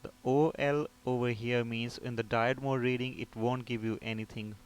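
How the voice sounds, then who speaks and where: A man's voice: medium pitch, steady tone, moderate pace. A man giving an informal step-by-step technical tutorial, often asking a question and then answering it himself. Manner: explanatory, poses and answers own questions. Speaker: repair technician instructor. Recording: clear background noise.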